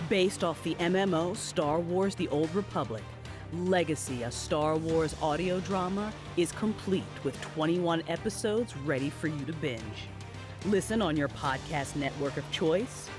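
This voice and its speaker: A man's voice: fairly high pitch, measured pace, music in the background. A man announcing a promo in scripted, dramatic trailer narration.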